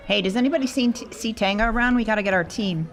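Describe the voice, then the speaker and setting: A woman's voice: a little high, fast, faint music behind. A woman playing a tabletop roleplaying game, speaking casually in character.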